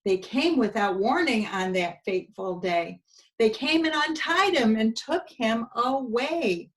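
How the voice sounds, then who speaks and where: A woman with a fairly high voice reads a children's story very slowly and expressively. She sounds like a preschool teacher, pausing over phrases and speaking directly to her young listeners. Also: lively intonation, fairly bright tone, rough recording. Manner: talks to the children with asides.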